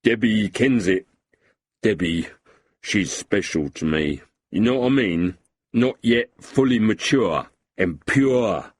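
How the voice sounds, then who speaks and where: A man voicing a boozy, sentimental lowlife with a husky voice and a blustering, self-pitying way of talking. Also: expressive, takes it slowly, no echo, exaggerated voice. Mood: confiding.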